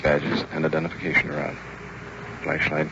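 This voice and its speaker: A man's voice: very deep voice, completely flat delivery, fairly quick, bright, forceful tone. A man hosting a radio ride-along, narrating police work on the scene in terse, observational phrases. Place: on location beside police and in car. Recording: muffled, very noisy old field radio recording.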